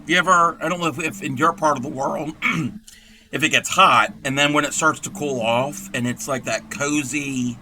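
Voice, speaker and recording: A man with a hoarse, scratchy voice who talks through a crochet tutorial in a rambling, chatty way and clears his throat often. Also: a little high, moderate pace, bright, forceful tone. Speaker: crochet livestream host.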